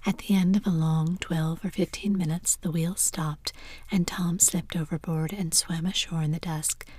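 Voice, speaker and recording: A woman narrating a classic story in a steady, hushed whisper. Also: lowish voice, moderate pace, slight background noise.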